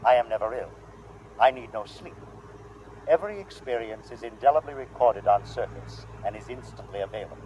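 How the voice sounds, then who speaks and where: An actor playing a coldly logical, superior alien humanoid robot with precise, formal diction in 1950s radio style. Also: male voice, mid-range voice, animated, fairly quick, darkish tone, lightly acted. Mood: proud, clinical. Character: haughty male humanoid robot from another planet, coldly logical. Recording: dull, noisy vintage 1950s radio recording.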